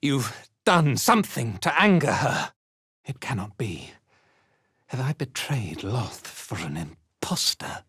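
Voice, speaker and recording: A haughty male dark elf zealot from a video game, imperious and menacing. He speaks slowly and deliberately, with pitch that swings theatrically, in a very dry, close recording. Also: slightly rough, no echo, heavily acted. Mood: angry, betrayed. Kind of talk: video game character acting.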